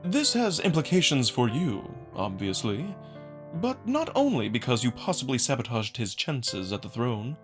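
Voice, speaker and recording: A man voicing a genteel, scheming male jackal noble in a visual novel, with a high, soft voice and formal, measured diction. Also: wildly swooping pitch, over music. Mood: smooth, persuasive. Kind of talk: visual novel dramatic reading.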